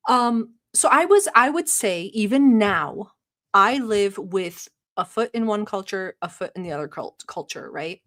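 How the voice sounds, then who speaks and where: A woman's voice: medium pitch, lively intonation, unhurried, fairly bright tone. A woman from Turkey who hosts a livestream, chatting casually and rambling with many filler words, sometimes bossy and sarcastic toward her chat. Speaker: livestream reaction host.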